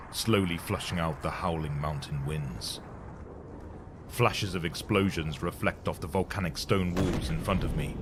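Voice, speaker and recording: A man's voice: low-pitched, background noise. A man giving a dramatic first-person narration of a story.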